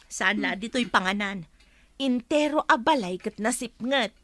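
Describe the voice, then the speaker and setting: A woman's voice: on the high side, lively intonation, measured pace, a bit bright, a little hiss or hum. A woman acting as an exasperated breadwinner wife and teacher in an Ilocano comedy drama, scolding, with a Filipino accent. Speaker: actress in an audio comedy drama.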